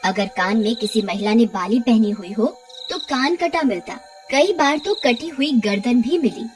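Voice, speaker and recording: A woman's voice: fairly high pitch, unhurried, over music. A woman reading scripted narration for a cartoon horror story.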